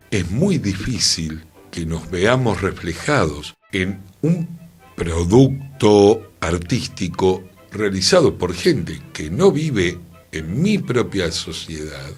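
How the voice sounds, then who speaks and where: A man with a Buenos Aires accent talking reflectively on a radio culture show, deliberate and opinionated. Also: sits low, big pitch swings, breathy edge, faint music behind. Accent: Buenos Aires Argentine.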